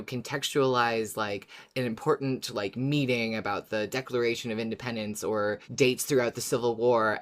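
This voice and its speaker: A podcast co-host with a middle-pitched voice discusses a story in a casual, analytical style. The delivery is thoughtful and measured, feeling out each point in conversation. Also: a bit bright.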